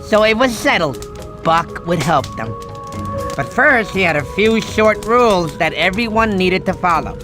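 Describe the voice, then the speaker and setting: An actor voicing a goofy, doting cartoon uncle sloth who tells a bedtime story to a child in a rambling, folksy, dramatic way. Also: male voice, high voice, expressive, a little slow. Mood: storytelling. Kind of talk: animated storytelling to a young child. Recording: music behind the voice.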